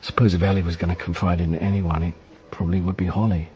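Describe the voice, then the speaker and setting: Low-voiced despairing prisoner played by a man. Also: flat delivery, quick pace, darkish tone, lo-fi sound, slight background noise, natural voice. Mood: weary, resigned. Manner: hesitant, downbeat. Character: despairing, self-loathing husband jailed for wife's killing. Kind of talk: naturalistic radio drama acting.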